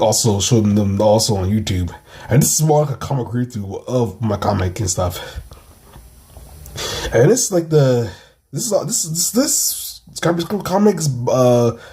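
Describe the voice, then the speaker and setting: A man with a husky voice giving a casual, rambling, giggly read-through of his own comic. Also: medium pitch, warm tone. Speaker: comic creator and channel host.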